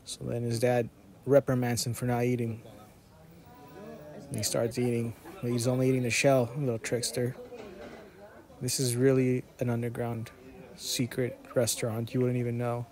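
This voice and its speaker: Deadpan man with a flat delivery. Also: mid-range voice, moderate pace, pauses often. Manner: deadpan, jokey. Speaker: food vlogger. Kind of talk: narrated vlog voice-over, casual storytelling.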